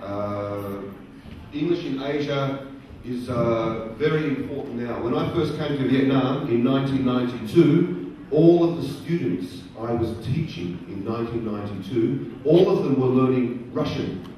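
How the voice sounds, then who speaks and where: A middle-aged man speaking to a live audience at a career event, using slow, simple, repeated phrasing and asking the crowd questions. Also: mid-range voice, dull audio. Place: large conference hall. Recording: very echoey hall, distant voice, faint noise.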